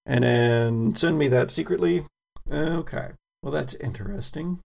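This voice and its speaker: Very creaky American man narrating horror roleplay. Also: on the high side, measured pace, darkish tone. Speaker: game master. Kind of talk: narrating a horror tabletop game.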